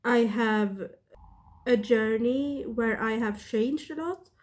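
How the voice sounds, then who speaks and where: Swedish-accented woman, 30s, vlogger; slow, halting delivery, a bit under the weather. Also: on the high side. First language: not English. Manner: hesitant, halting, many fillers. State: sick. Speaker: witchcraft vlogger. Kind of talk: casual talk to camera.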